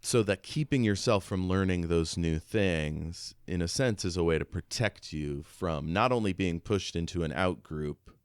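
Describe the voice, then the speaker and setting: A middle-aged man co-hosting a podcast, talking casually in a measured, reflective way. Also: deep voice, clean tone, dry recording, clean studio sound. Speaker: podcast co-host. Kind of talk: casual discussion.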